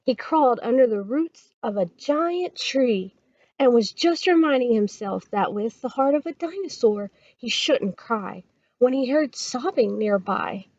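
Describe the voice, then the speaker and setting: A woman reading a children's story aloud as a storytime reader, expressive and dramatic. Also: fairly high pitch, unhurried, dry recording, highs cut off.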